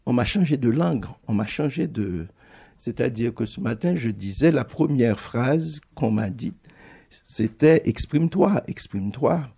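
Gravelly, husky-voiced man speaking reflectively. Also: medium pitch, animated, mellow. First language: Creole. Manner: thoughtful, halting, restarts phrases often. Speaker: book publisher. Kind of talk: reflective public talk.

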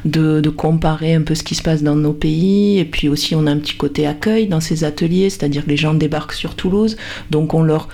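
A woman's voice: sits low, fairly quick, creaky, mellow. A woman guest on a community radio show, chatting casually in the studio with many fillers and restarts. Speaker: radio guest, language association volunteer. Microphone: studio mic with headphones.

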